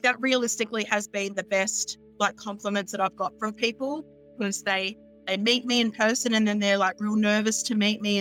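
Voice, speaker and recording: A woman guest on a podcast, chatting casually in a smooth, high-pitched voice and cackling as she laughs. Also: a bit bright, rough recording. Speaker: astrologer guest. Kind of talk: casual interview chat.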